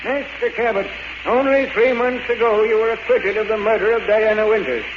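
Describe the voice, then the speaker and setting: A man's voice: sits high, moderate pace, natural voice. An actor playing a stern, pompous male courtroom judge with a formal, declamatory delivery in 1940s radio drama style. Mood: official, disapproving. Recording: noisy, dull 1940s radio recording.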